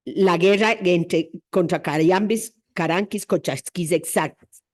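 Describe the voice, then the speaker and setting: A woman's voice: on the low side, slow, a little mellow. A woman presenting an academic history lecture, explaining her reasoning step by step in a didactic way.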